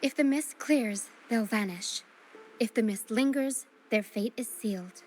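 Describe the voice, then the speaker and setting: A woman playing a caring, solemn young woman in a video game, speaking in a measured and earnest way. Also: on the high side, darkish tone, a little hiss or hum, lightly acted. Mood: solemn, explanatory. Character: caring young woman guiding through a ghostly mist, solemn and gentle. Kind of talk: scripted video game acting. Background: game music.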